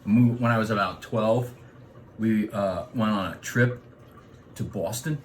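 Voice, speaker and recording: A man's voice: fairly low pitch, measured pace, a little hiss or hum. A middle-aged man from New York with a New York accent, talking in a casual comic monologue and jumping between topics. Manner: animated, digressive, slips into voices. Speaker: vlogger.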